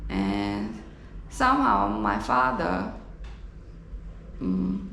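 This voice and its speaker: A woman from Romania with a Romanian accent tells a personal story in a halting, reflective way, recorded on an action camera in a roomy space. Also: rumbling low, huge pitch swings, gravelly, a bit bright. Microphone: GoPro camera. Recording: roomy echo, faint background noise.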